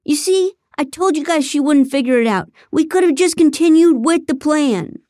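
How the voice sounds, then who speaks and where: A hot-tempered schemer who shouts his scorn at everyone, pitched high and loud, as a woman reading the story puts him on. Close and dry, with no room sound. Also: normal speed, dry recording, close to an everyday voice. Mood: scornful.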